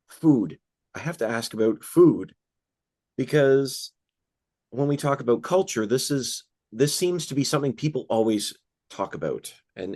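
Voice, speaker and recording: A man's voice: mid-range voice, a little mellow. A man interviewing a filmmaker, asking long rambling questions full of hesitations and fillers.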